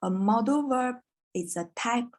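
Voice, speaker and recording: Woman from china, english, slow careful speech, higher voice, video call. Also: animated, darkish tone. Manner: slow, patient, clear teacher talk with sung rhymes. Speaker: English teacher. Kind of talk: online children's English class.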